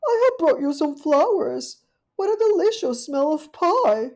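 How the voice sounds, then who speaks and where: A woman's voice: high-pitched, big pitch swings, a little slow, a little mellow, voice pushed up, full character voice. A woman voicing an anxious, greedy little female dog guest in a storybook reading, eager and fussy.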